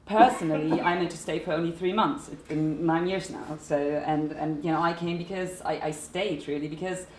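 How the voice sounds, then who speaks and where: A woman's voice: low-pitched, fairly even intonation, normal speed, hardly any pauses, slight background noise. A woman in her thirties with a German accent, speaking as a panelist in a seminar room, earnest and emphatic. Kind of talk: panel discussion talk. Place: seminar room at a conference table. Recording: echoey room.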